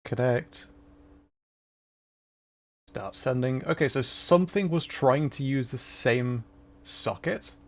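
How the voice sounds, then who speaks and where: A man's voice: mid-range voice, takes it slowly, long pauses. A man talking casually and self-deprecatingly as he hosts a gaming livestream. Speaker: livestreamer, VTuber. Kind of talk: casual livestream chat while playing a game.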